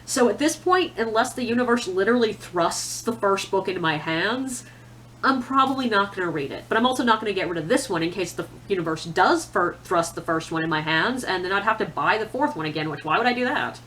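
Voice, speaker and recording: A woman with a mid-pitched voice chats casually about books in a solo monologue. She is opinionated and conversational, dropping in self-deprecating asides as she goes. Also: animated, fairly quick, fairly bright tone, a little hiss or hum, low-quality recording. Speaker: book reviewer. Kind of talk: casual chatty video monologue.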